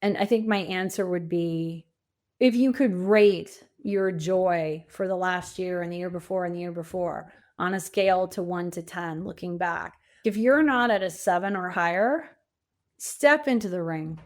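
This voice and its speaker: A woman's voice: fairly low pitch, a little slow. Woman in her fifties, encouraging and emphatic. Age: 50s. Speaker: podcast guest, coach and speaker. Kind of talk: conversational interview answers.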